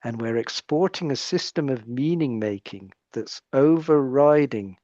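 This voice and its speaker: A man's voice: mid-range voice, unhurried, dark tone, no echo, dull audio. A British man speaking as a psychiatrist guest in an interview conversation, explaining his points in a thoughtful way and often restarting his phrases.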